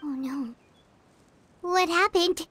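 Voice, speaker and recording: A woman's voice: very high voice, takes it slowly, a bit bright, slight background noise, heavily acted. A woman voicing a small, childlike fairy companion in a video game, curious, earnest and openly reactive. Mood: curious, concerned. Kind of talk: video game cartoon-like character acting. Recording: clear music behind the voice.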